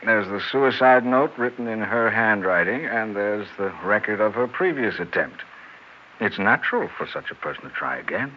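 An actor playing a suave, sardonic, tipsy husband and cold-blooded killer in a theatrical 1960s radio drama. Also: male voice, fairly low pitch, lively intonation, a little hiss or hum, lightly acted. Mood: cool, confident. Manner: theatrical, mock-tender, deliberate. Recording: muffled vintage radio recording with music.

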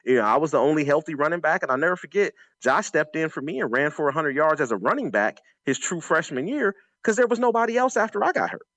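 A man's voice: on the high side, animated, fast talker, few pauses, fairly bright tone, dry recording. A man giving conversational sports analysis as an announcer and former player, emphatic, repeating phrases and drawing on anecdotes. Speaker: sports announcer and former college running back.

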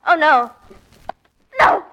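A woman playing a young, polite ballerina in 1940s radio thriller style, with halting dramatic delivery. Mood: frightened, pleading. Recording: noisy old radio recording.

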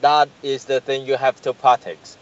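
A man answering interview questions over a call, halting and searching for words, often repeating himself. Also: mid-range voice, little pitch movement, dull audio. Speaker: guest, tour business manager.

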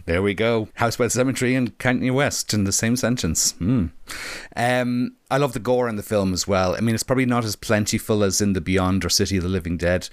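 Man, very low pitch, slightly croaky, wry rambling film fan, casual review chat, dry recording. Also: fairly quick, dry recording. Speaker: film discussion panelist.

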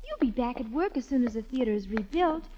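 An actress playing a vivacious, sharp-tongued young sweetheart in 1940s radio drama style. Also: female voice, fairly high pitch, animated, fast talker, noisy background, natural voice. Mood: elated, affectionate. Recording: dull vintage radio recording, music behind.

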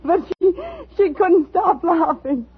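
An actress playing an unstable, wronged woman with brittle sweetness and theatrical, drawn-out intensity in 1950s radio style. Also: very high voice. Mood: hysterical, unhinged. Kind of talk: 1950s radio drama acting. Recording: tinny old radio broadcast with music.

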